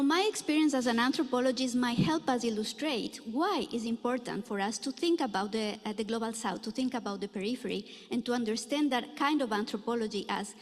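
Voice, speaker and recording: A middle-aged woman from Ecuador giving a conference lecture in a lecture room, earnest and full of filler pauses. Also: fairly high pitch. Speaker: anthropology professor giving a talk. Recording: echoey hall reverb.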